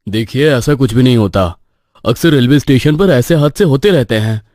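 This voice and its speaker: Casting: a man for a nervous young stationmaster in a horror cartoon, medium pitch, placating, very dry sound. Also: lively intonation, moderate pace, a little mellow, no room sound, lightly acted. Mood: dismissive. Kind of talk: cartoon horror story acting.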